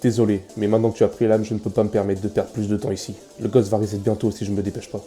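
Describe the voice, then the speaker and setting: A man voices a weary monster schemer for a comic dub. The voice is low and extremely mellow, flat in pitch yet quick, with a cold, deadpan menace. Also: soft background music, close to an everyday voice. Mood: dismissive. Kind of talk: fan comic dub acting.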